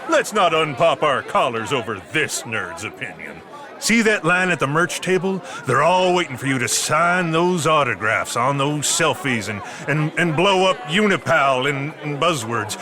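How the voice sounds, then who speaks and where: An actor playing a boisterous, folksy, glad-handing mayor who talks mockingly in an audio drama. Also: male voice, fairly high pitch, animated, moderate pace, a crowd in the background, natural voice. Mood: coaxing.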